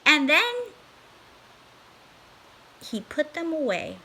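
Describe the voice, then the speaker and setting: A woman reading a children's storybook aloud in a theatrical, emphatic, expressive way. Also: high voice, very slow. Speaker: storybook reader.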